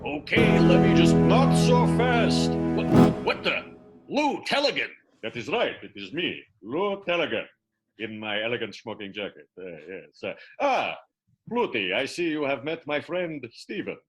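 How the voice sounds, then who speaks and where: A man playing a suave, pompous gentleman villain in an improvised radio soap, husky and theatrical with hesitant hemming. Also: on the high side, very animated, unhurried, soft background music.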